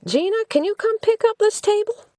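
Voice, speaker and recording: A woman voicing a haggard, brisk diner waitress in an audiobook story, businesslike and ready to holler. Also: very high voice, dark tone, dry recording, clean studio sound, pitched above the performer's own voice.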